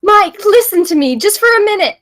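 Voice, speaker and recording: A woman acting a high-voiced, pleading girlfriend. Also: animated, a little fast, fairly bright tone. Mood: desperate pleading. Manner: pleading, earnest. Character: Jess, anxious pleading young woman, placating and devoted. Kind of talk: dramatic screenplay table read.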